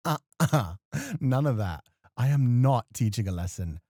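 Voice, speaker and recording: Man acting a mellow, refined, teasing club host. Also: medium pitch, expressive, takes it slowly, no room sound, studio quality. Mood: gently chiding. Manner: formal, gently chiding, playful. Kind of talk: flirty ASMR roleplay acting.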